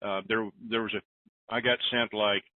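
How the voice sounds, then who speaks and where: A man's voice: fairly low pitch, little pitch movement, moderate pace, dry recording. A man hosting a conference call, reading listener questions aloud and answering in a rambling, self-deprecating, joking way. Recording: tinny phone line.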